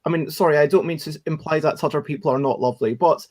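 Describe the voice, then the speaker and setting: A man's voice: on the high side, quick pace, darkish tone. A man hosting a casual chat show, speaking in a rambling, encouraging way and often correcting himself as he goes. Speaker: host of a daily livestream chat show.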